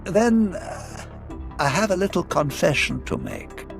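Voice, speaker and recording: Courtly, scheming Sith lord, animated swooping voice. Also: male voice, sits high, measured pace, creaky, faint music behind, heavily acted. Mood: warmly impressed. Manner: formal, courtly, eloquent. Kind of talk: video game cutscene acting.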